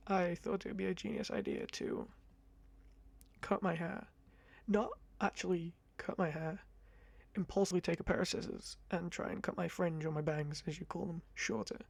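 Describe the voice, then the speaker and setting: A young man in his twenties with a high-pitched voice, rambling casually to camera in a chatty, self-deprecating ASMR talk on a close mic. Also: vocal fry, darkish tone, no room sound. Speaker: ASMR creator. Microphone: close condenser mic.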